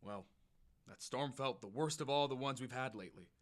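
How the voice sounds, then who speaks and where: A man's voice: medium pitch, normal speed, lightly acted. Man acting tired fisherman father, middle-aged, mid-range voice, resigned, caring, audio drama. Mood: weary, caring.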